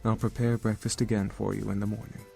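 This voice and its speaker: A man playing a tender, devoted young love interest in a visual novel, speaking gently and formally with affection. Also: fairly low pitch, flat delivery, quick pace, gravelly, soft background music. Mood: gentle.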